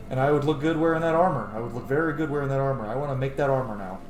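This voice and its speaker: A man streams a video game with loose, rambling, sweary commentary. His mid-pitched voice rings in an echoey room, with clear noise behind him. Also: fast talker. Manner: rambling, sweary, self-joking. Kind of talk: casual let's play commentary.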